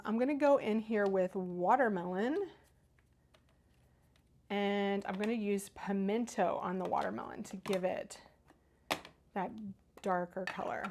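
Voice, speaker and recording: A woman's voice: medium pitch, creaky, a little mellow, slight background noise. A middle-aged woman from Northern Kentucky with a Midwestern American accent, chatting enthusiastically as she demonstrates cardmaking on a live stream and answers viewers. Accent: Ohio-like Midwestern American. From Northern Kentucky, USA. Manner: chatty, enthusiastic, talks while working. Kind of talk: casual craft demo, reading live chat.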